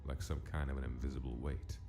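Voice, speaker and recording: A man's voice: very deep voice, flat delivery, fast talker. A man giving dramatic scripted narration for a story in a comic dub. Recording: loud music under the voice. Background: music.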